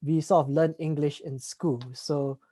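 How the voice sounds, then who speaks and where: A man's voice: a little high, level tone, unhurried, dark tone. Easygoing young Singaporean man. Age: young adult. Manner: easygoing, fills with "sort of" and "like". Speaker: guest, student. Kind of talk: casual interview chat.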